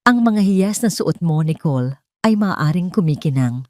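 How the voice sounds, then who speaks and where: A woman voicing a wronged wife in an audio drama, dignified, steady and quietly vengeful. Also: lowish voice, normal speed, dry recording, clean studio sound, natural voice. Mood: quiet defiance. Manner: steady, resolute.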